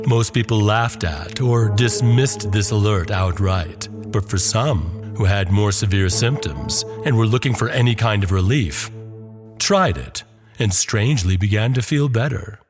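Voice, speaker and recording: A man with a low voice narrates horror over a music bed. His delivery is very slow and deliberate, leaning on ominous emphasis like a dramatic storyteller. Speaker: narrator and host of a horror podcast. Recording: music under the voice.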